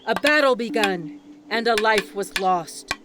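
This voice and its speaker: A woman's voice: medium pitch, expressive, very noisy, natural voice. Woman as a solemn, devout island priestess storyteller. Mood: solemn, reverent. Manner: reverent, measured oratory. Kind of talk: ceremonial storytelling in an audio drama.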